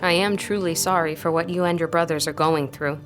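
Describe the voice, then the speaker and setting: A woman acting an earnest, scholarly young female tutor with a fairly low voice. She speaks with measured, formal precision and a guarded composure, over soft music in an audio drama. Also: steady tone, close to an everyday voice.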